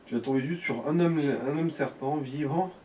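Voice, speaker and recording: Slightly high-voiced male gaming sidekick. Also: normal speed, warm tone. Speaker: co-commentator advising the player. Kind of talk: casual gaming chat.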